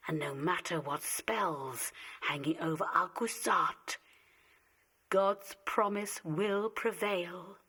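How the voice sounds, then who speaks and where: An anxious, superstitious mother in a radio drama acted by a woman. She speaks slowly and theatrically, with highly animated pitch and weighty dramatic pauses. Her delivery is emotional and pleading. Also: full character voice. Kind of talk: radio play acting.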